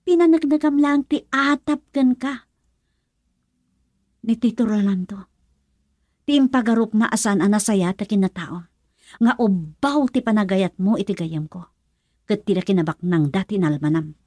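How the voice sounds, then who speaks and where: Indignant friend, Filipino accent, husky, emphatic, reproachful, radio drama, dry sound. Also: female voice, mid-range voice, lively intonation, measured pace, pauses often, darkish tone, no room sound. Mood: indignant, accusing. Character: indignant woman scolding a friend's lover.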